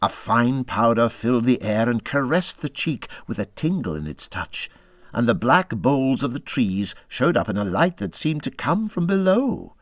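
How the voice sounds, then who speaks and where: A man reading a classic children's story aloud as an expressive narrator, with measured, dramatic phrasing. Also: mid-range voice, dry recording. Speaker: audiobook narrator.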